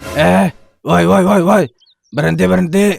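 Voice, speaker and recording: A man's voice: high voice, fairly even intonation, a little slow, creaky, heavily acted. A man voicing a hapless, grumbling security guard with a Jakarta Betawi accent in a comic cartoon. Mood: panicked pleading.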